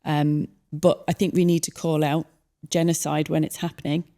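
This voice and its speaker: A middle-aged Irish woman speaking as a guest in an interview, in a measured way with frequent pauses and ums. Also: low-pitched, flat delivery, mellow. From Ireland. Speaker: local election candidate, guest. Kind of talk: earnest interview conversation.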